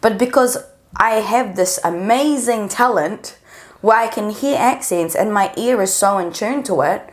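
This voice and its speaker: Young New woman, even mid-range voice, analytical co-host. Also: mid-range voice, a little slow. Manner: thoughtful, analytical. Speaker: podcast co-host. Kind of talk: casual conversation.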